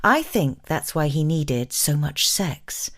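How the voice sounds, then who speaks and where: A woman's voice: low-pitched, expressive, slow pace, fairly bright tone, exaggerated voice. An actress playing a lovestruck, brazen married woman, giving an intimate, wistful dramatic reading of a forbidden romance. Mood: wistful, longing. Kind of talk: dramatic first-person audiobook-style reading.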